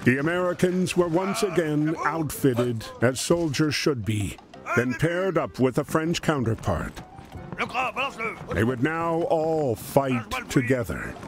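A man narrating a scripted dramatic story in a measured, solemn way. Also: a little high, big pitch swings. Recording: music under the voice. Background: background music.

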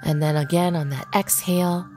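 A woman leading a guided meditation in an unhurried way, pausing between short phrases. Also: lowish voice, steady tone, darkish tone, faint music behind.

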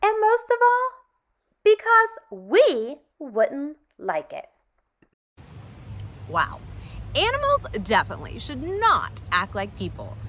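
Lady doing storytime for little kids, super swoopy sing-song voice, takes it really slow. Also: sits high. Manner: sing-song, exaggerated, animated enunciation. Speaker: storytime host for young children. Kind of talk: read-aloud for preschoolers.

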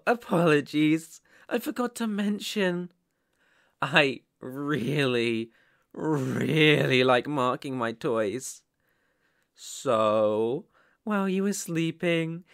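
A man's voice: mid-range voice, a bit bright, smooth, dry recording, clean studio sound. A man voicing a sadistic, possessive kidnapper in an ASMR roleplay, taunting and menacing with a mock-sweet manner. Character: sadistic, possessive male psycho yandere kidnapper.

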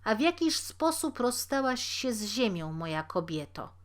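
A woman's voice: on the high side, big pitch swings, normal speed. A woman reading as a pompous, condescending male critic. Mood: inquisitive, lofty. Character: haughty, self-important male critic, coldly judgmental. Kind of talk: storybook character reading.

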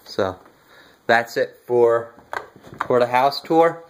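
A young man giving a casual walking tour of his house, relaxed and halting with lots of fillers, recorded on a handheld phone indoors. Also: medium pitch, slight background noise. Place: inside a house, walking room to room. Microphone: handheld phone camera, filming rooms.

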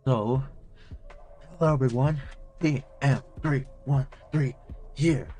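A young man in his twenties hosts a reaction video at home, chatting excitably and swearing. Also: medium pitch, takes it slowly, soft background music. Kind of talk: casual chat. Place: home room with curtains.

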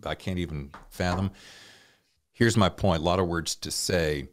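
A man's voice: sits low, measured pace, a little hiss or hum. A man in his sixties hosting a podcast, interviewing guests in a casual, conversational way. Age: 60s.

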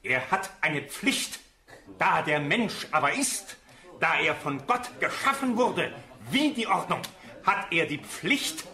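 An actor playing a pedantic, philosophizing officer in a radio drama, lecturing ponderously on morals. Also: male voice, fairly high pitch, big pitch swings, slow, sharp tone, full character voice. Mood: earnest, lecturing. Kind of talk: radio drama acting in German. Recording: echoey room.

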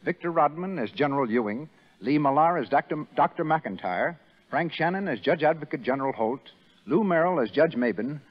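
A man's voice: fairly high pitch, animated, fairly quick. A man reading formal, scripted announcements as a 1930s radio announcer. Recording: dull, rough 1930s radio broadcast, faint crowd.

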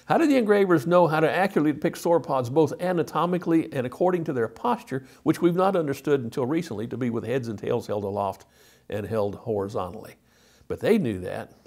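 A man's voice: mid-range voice, a little mellow. A man, presenting a lecture as a teacher, emphatic and given to rhetorical questions. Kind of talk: illustrated lecture to camera. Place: indoor studio.

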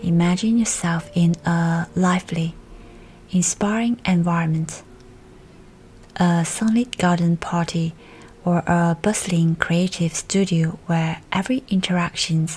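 A woman reading a scripted guided meditation in a soft, soothing, breathy delivery. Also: fairly low pitch, fairly even intonation, unhurried, slight background noise. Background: music.

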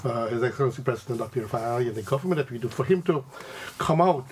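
A man's voice: mid-range voice, lively intonation, racing, slight background noise. An older Guyanese man, a religious community representative, speaking in florid, effusive terms on a TV studio panel.

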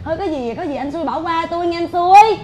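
Shrill southern Vietnamese mother-in-law. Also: lively intonation, fast. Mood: placating, chatty. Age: middle-aged. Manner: theatrical, exclaiming, repeats herself for emphasis. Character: brash, boastful, scolding middle-aged mother-in-law. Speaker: comic stage actress. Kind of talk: broad stage comedy acting. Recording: live stage with loud audience.

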